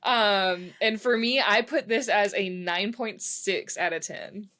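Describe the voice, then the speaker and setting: A woman's voice: mid-range voice, takes it slowly, a bit bright. A woman co-hosting a podcast, casually reviewing music and thinking aloud as she talks. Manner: conversational, thinking aloud with frequent "like". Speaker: podcast co-host. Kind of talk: casual album review chat.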